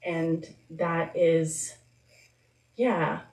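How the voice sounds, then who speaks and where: A woman whose first language is Spanish, speaking as a guest author at a live event in a reflective, halting, informal way. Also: deep voice, little pitch movement, a little mellow. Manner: reflective, halting, informal, with frequent filler words. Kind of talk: candid live talk answering audience questions. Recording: echoey room, distant microphone.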